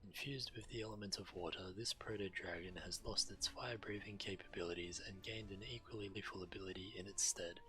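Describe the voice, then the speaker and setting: A man voicing an impassive ancient facility computer in a video game, giving measured, clinical announcements and records. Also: deep voice, steady tone, sharp tone, rough recording. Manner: measured, clipped status announcements.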